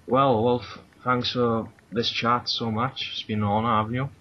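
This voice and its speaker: A man hosting a casual voice-call chat, chatty and laughing often, closing with thanks and shout-outs. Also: medium pitch, flat delivery, normal speed. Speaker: channel host. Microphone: computer mic on a voice call. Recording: dull lo-fi voice-call audio with faint noise.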